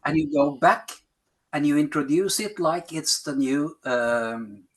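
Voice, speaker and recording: A middle-aged man with a Norwegian accent, speaking English as a second language in a podcast interview, pausing often to find words. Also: on the high side. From Norway. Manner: searches for words, frequent pauses and fillers. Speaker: health policy advisor.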